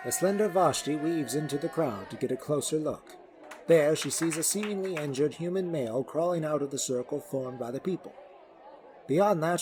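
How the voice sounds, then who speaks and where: A man's voice: a little high, a little mellow. A man narrating a scripted fantasy story as its storyteller.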